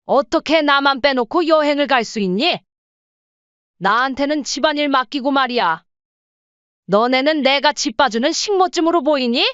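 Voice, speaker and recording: A woman acts out an aggrieved elderly mother in an audio drama. Her voice is high and close and dry, and she scolds with bitter reproach. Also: normal speed, fairly bright tone, no echo, muffled recording. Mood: hurt, indignant. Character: hurt, angry elderly Korean mother and mother-in-law. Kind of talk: audio story drama acting.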